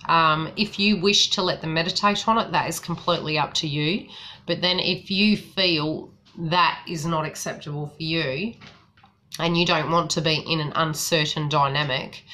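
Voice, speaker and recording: A woman from New Zealand with a softened New Zealand accent, chatting casually as a psychic tarot reader on a live stream. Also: sits low, slight background noise. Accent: New Zealand, softened by Australian.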